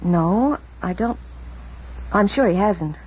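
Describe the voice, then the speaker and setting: An actress playing a troubled, earnest, hesitant wife in a mid-century radio drama. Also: female voice, mid-range voice, lively intonation, slow pace, darkish tone, slightly rough. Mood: worried, hesitant. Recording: muffled vintage radio, faint background noise.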